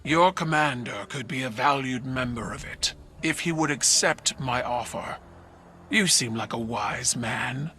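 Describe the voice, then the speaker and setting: Slow, forceful alien war leader. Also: male voice, mid-range voice, slight background noise. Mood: haughty, persuasive. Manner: deliberate, haughty. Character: proud, imperious alien war leader negotiating with enemies. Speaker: video game character voice. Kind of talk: dramatic cinematic game dialogue. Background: background music.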